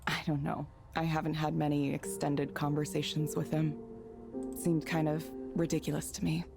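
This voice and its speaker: A guarded, modest woman in a narrative game. Her voice is on the low side and her delivery is understated and a little hesitant. Faint music sits underneath. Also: little pitch movement, moderate pace, vocal fry. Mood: guarded, apologetic. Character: reserved, modest, guarded woman, former tech researcher. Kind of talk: naturalistic visual novel acting.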